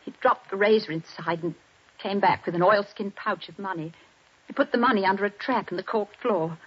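An actress playing a frightened young wife in a 1940s radio drama, pleading and breathless. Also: female voice, medium pitch, brisk, a bit bright, husky. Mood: anxious. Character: frightened young wife telling detectives what she saw. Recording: dull 1940s radio broadcast.

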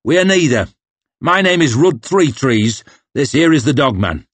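A man voicing a veteran warrior who speaks clearly and patiently. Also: medium pitch, animated, a little slow, no room sound, pitched above the performer's own voice. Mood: steady.

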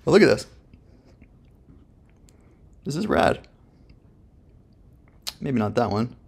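A man with a gravelly voice, casually reviewing software while thinking aloud in a slangy, joking, laid-back way. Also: mid-range voice, very animated, slow.

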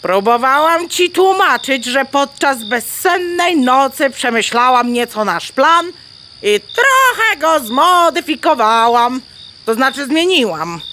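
High, pompous mother hen. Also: sing-song, slow, bright, forceful tone, slight background noise, exaggerated voice. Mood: explaining, self-satisfied. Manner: pompous, lecturing, clucking between words. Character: bossy, self-important mother hen diplomat. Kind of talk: children's audio play acting.

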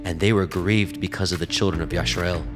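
A man narrating scripture from a script in a solemn, measured way. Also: low voice, steady tone. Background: music.

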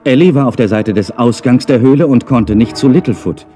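A man's voice: medium pitch, brisk, warm tone, faint music behind. A man narrating a scripted children's audio story.

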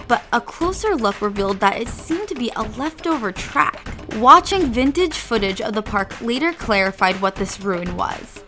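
A woman giving wry, measured scripted narration for an exploration video. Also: fairly high pitch, fairly bright tone. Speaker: vlog narrator. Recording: with background music.

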